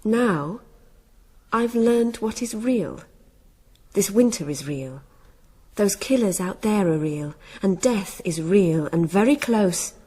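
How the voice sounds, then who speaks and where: A woman's voice: mid-range voice, lively intonation, slow, warm tone, breathy edge. An actress playing a headstrong, earnest young noblewoman in a radio drama. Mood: remorseful, intense.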